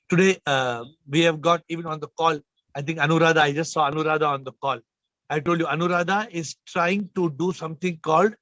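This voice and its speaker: High-voiced man teaching over a video call. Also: fairly bright tone, no echo. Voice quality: slightly low, subdued voice today. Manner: emphatic, repeats phrases, questions listeners. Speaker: spiritual teacher hosting a call. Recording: muffled video call audio.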